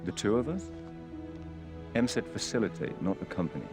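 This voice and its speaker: Cool British spy, low-pitched, wry and businesslike, dramatic score loud underneath. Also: male voice, sing-song, moderate pace, music in the background. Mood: dry, wry. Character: cool, clipped British secret agent, dryly wry. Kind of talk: cinematic spy game acting.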